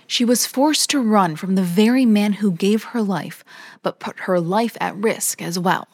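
A woman hosting a podcast, narrating a scripted documentary story in a measured, even way. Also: medium pitch, no echo, studio quality. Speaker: podcast host.

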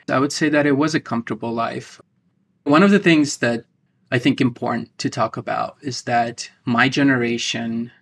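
A man, recalling his family history in an interview, halting with many restarts and fillers. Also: medium pitch, fairly bright tone. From Ukraine. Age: 30s. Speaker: interview guest, divinity student. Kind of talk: personal recollection in an interview.

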